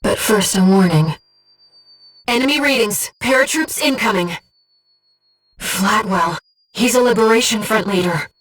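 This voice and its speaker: A woman playing a calm, devoted disembodied companion who guides a pilot in a video game, speaking in a measured, earnest, gently formal way. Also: medium pitch, recorded from a distance, low-quality recording. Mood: soft, grave, then urgent alert. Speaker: mission support companion. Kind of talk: scripted video game voice acting.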